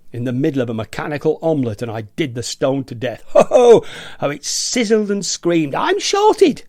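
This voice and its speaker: Actor playing an indignant, eccentric male rebel, higher-pitched. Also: a little mellow, no room sound, clean studio sound. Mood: mocking, excited. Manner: theatrical, emphatic, mimics nagging voices. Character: indignant, eccentric male rebel against noisy gadgets, smugly defiant. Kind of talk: radio play monologue, amateur dramatic reading.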